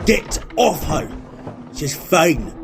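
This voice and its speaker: Male actor as loyal survivor in audio drama: urgent, stubborn, raised pitch, lively swings. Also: extremely slow, gravelly, exaggerated voice. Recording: dramatic music bed behind voice.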